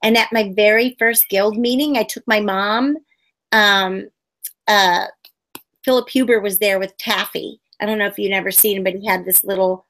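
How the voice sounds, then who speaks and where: A woman in her forties, a puppeteer, telling stories in a casual interview over a webcam video call from a room at home, acting out quotes with sudden high squeals. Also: steady tone, moderate pace, a bit bright. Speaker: puppeteer guest.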